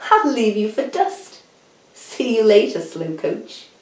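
A woman's voice: on the low side, big pitch swings, slow pace, reverberant. Woman voicing a cocky, swooping, boastful storybook hare. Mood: cocky challenge. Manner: snappy, cocky, scornful. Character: boastful, vain young male hare.

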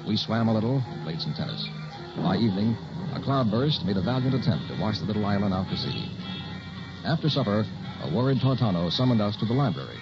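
A man acting a young man who narrates an eerie tale in a 1960s radio drama, deliberate and suspenseful. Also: fairly low pitch, sing-song, over loud music. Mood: tense recounting. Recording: muffled old radio recording.

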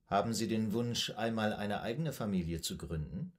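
Actor as a low-voiced, composed psychoanalyst man. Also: lively intonation, close to an everyday voice. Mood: composed, probing. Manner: formal, measured, clinical. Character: composed, probing male psychoanalyst questioning a patient. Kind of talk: German radio drama acting.

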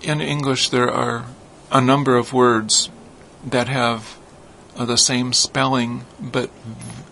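A man's voice: mid-range voice, flat delivery, slow, gravelly, pushed and bright, slight background noise, poor audio. A man speaking as a guest on a radio talk, patiently explaining ideas with some fillers. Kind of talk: casual explanatory conversation.

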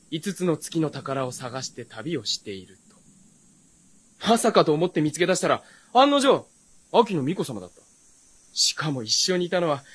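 A brash young servant in a period radio drama, cheeky and casual. The voice is high for a man and swoops around in a very animated way. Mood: wry. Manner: casual, cheeky, rough speech. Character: brash, breezy, rough-spoken young male retainer.

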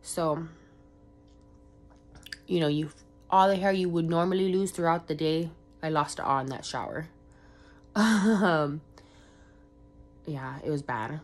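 A woman's voice: sits low, takes it slowly, long pauses, soft background music. A young woman, congested from a cold, rambling casually in a vlog with lots of fillers. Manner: rambling, filler-heavy, chatty. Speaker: vlogger.

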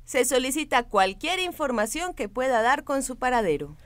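A woman playing a brisk, assertive gossip-show television presenter in a radio drama. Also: sits high, very animated, no echo. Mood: announcing.

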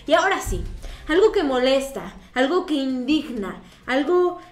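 A woman's voice: high-pitched, very animated, measured pace, echoey room. A young woman with a Mexican accent, hosting news commentary to camera. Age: young adult. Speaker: news host.

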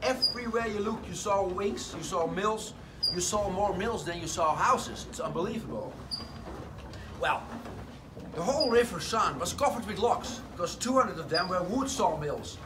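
A man, telling a tour group a lively story inside a large wooden sawmill. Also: high voice, normal speed. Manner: lively storytelling, slightly broken English phrasing. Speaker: windmill guide. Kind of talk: guided tour talk. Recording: distant voice with noisy background.

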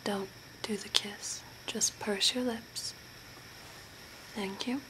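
A young woman playing an eerie, calm zombie makeup artist in a soft breathy whisper, gently giving instructions in an ASMR role play. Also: medium pitch, natural voice. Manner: slow, deliberate, gently instructing. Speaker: ASMR host. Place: small room at home by a window. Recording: noisy home recording, background hiss. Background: clear background noise.

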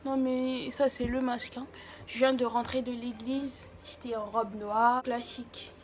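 A woman's voice: fairly high pitch, flat delivery, a little slow. An eighteen-year-old woman chatting casually to camera in an effusive way, repeating words for emphasis. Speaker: vlogger. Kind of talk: casual birthday vlog chat to camera. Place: small bedroom, some clips out in the street. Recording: noisy amateur camera audio.

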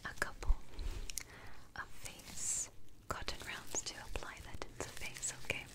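Young woman whispering softly for ASMR. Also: a little slow. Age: young adult. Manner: soothing, gentle, reassuring. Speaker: ASMR creator playing a beauty spa technician. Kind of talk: ASMR roleplay, soft whispering.

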